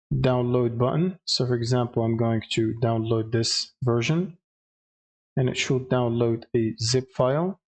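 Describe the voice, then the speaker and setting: A man presenting a casual step-by-step software tutorial, explaining with some hesitation. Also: mid-range voice.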